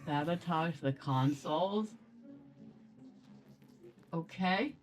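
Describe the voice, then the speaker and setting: A woman's voice: low-pitched, drawn-out words, rough recording. A woman giving casual let's play commentary as a game streamer, sometimes reading quest text aloud.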